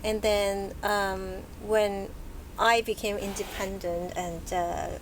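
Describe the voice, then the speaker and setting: A woman's voice: medium pitch, level tone, slight background noise, rough recording. Thoughtful woman guest. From Hong Kong. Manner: thoughtful, halting, many fillers and restarts. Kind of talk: casual interview chat. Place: book and music shop, live event.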